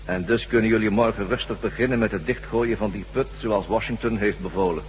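A man's voice: on the low side, a little fast, close to an everyday voice. Guy playing a smug menacing alien mind controller, radio play, echoing hall, bit of hiss. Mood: calm command. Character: commanding male alien hypnotist, calm and menacing. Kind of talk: radio drama acting. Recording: hollow reverberant hall, faint hiss and hum.

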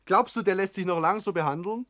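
Blunt, argumentative young Bavarian son. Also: male voice, high voice, fast talker, a bit bright. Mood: reproachful. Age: young adult. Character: ambitious, rebellious grown son of a builder. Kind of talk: 1960s German radio drama acting.

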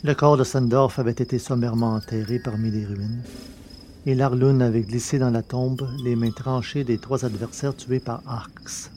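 A man narrates a novel in a measured, deliberate way. His voice is mellow and dark-toned, set at an average pitch for a man. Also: steady tone, slight background noise. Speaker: audiobook reader. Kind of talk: scripted narration of a science-fiction novel.

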